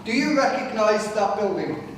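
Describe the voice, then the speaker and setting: Guy with a loud voice giving a history talk in a big hall, chatty, keeps saying "right?", lots of echo, sounds far from the mic. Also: sits high, lively intonation, normal speed, noisy background. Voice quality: naturally loud voice. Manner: chatty, addresses the audience directly with frequent "right?". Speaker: local history speaker. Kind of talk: public history talk to a live audience. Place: large hall with pillars, live audience. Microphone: no microphone, far room pickup.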